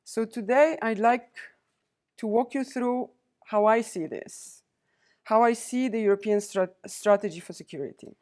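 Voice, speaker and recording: A woman in her forties from Poland, speaking, giving a prepared university lecture as a visiting diplomat, with a measured, deliberate delivery and pauses between listed points. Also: fairly high pitch, a little mellow. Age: 40s.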